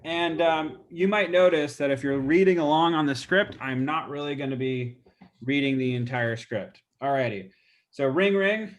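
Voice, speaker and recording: A real estate agent with a stuffy nose, casually walking through a call script with lots of fillers. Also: male voice, on the high side, normal speed. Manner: casual, chatty, many fillers. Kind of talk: role-play training demo.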